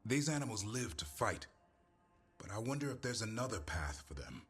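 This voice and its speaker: A man playing a gruff, stoic but caring warrior and creature keeper in a video game cutscene, terse and dryly humorous. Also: on the low side, very animated, normal speed, a bit bright. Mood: reflective, uneasy. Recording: music behind.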